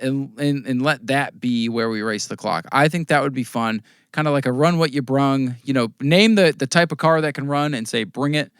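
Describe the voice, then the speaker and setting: An American man with a voice worn hoarse, casually recapping local short-track races as a podcast host, rambling through results with fillers. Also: mid-range voice, fairly bright tone, dry recording, clean studio sound. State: losing his voice, coughing at times. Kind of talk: casual race recap talk.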